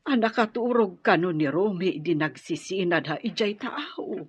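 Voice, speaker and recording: A woman's voice: fairly low pitch, animated, normal speed, breathy edge, dry recording. A woman with an Ilocano accent playing a worried mother of a grown son in a radio drama, on dull lo-fi audio. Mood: anxious, doubtful. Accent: Filipino, Ilocano.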